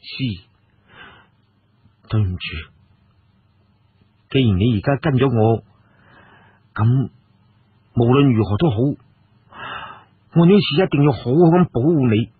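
A man's voice: medium pitch, animated, a little slow, pauses often, slightly rough, narrow, tinny audio. A man voicing a tender, remorseful male hero in a storybook, earnest and protective toward his lover. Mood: apologetic.

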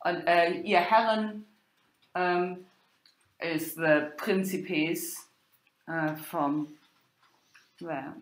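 Slow, halting German-accented woman lecturer. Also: lowish voice, fairly even intonation. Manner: halting, hesitant delivery with many pauses. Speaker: university lecturer in medieval German literature. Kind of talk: informal academic lecture with quoted text. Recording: roomy, echoing sound.

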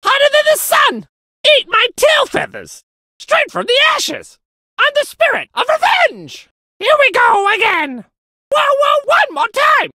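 A cocky phoenix crow game character, super high sharp strained voice, swings all over, trash talking. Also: very animated, unhurried, dry recording, studio quality, full character voice. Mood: cocky, fired up. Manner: brash, taunting, shouted one-liners. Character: cocky, hot-tempered fiery phoenix crow brawler. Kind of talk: exaggerated video game character voice lines.

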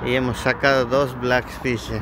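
Noisy outdoor phone recording; male amateur fishing vlogger, casual, unhurried delivery. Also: mid-range voice, level tone. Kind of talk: casual narration while filming. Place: outdoors on a concrete bridge over water. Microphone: handheld phone. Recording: loud outdoor wind and traffic noise.

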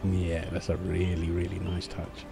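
A man's voice: low voice, monotone, moderate pace, creaky, over loud music. A man playing a doting young husband and new father, tender and playful, in an ASMR boyfriend roleplay. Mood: appreciative, affectionate.

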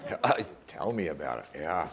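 A man giving live sports commentary with casual banter, in a halting, repetitive way. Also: a bass voice, sing-song, over a noisy crowd. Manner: hesitant, halting, repeats himself. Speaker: fight commentator. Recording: loud music behind. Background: loud music.

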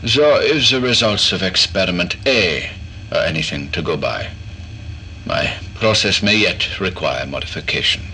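A cold clinical doctor. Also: male voice, low-pitched, expressive, normal speed, bright, forceful tone, slight background noise, exaggerated voice. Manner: clipped clinical detachment. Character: cold eccentric male doctor running experiments. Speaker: experimenter narrating logs. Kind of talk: horror audio drama acting.

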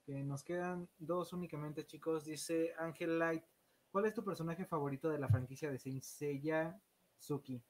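A man's voice: on the high side, moderate pace, slight background noise. A man with a Mexican accent, casually hosting a live podcast and reading out comments from viewers. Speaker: podcast host.

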